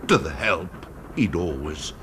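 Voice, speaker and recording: Very deep, sinister vengeful storyteller. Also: male voice, expressive. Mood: dark triumph. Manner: hushed, halting, lingering on words, sinking to whispers. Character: vengeful blind man coldly savouring his cruel revenge. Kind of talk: radio drama storytelling monologue. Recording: faint background noise, old radio drama.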